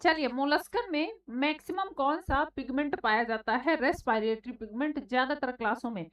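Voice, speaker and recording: A woman teaching a live online exam class, slightly hoarse from a sore throat, scolding her students and calling them beta and bhai. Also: high voice, normal speed, fairly bright tone, no echo. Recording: dry, slightly dull online class audio.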